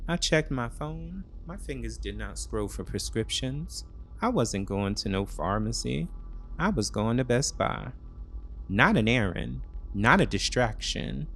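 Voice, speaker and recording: Male narrator, psychological thriller audiobook; very slow, deliberate short phrases, mid-range pitch, music bed. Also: expressive, fairly bright tone. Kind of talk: scripted first-person thriller narration.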